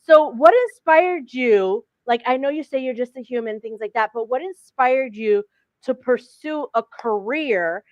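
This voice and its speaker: Woman host, higher voice, effusive, encouraging, dry, lo-fi video call audio. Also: unhurried, darkish tone, dry recording. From born in Philadelphia, raised in Chicago. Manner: effusive and encouraging, repeats praise. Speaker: interview host. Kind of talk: casual interview conversation.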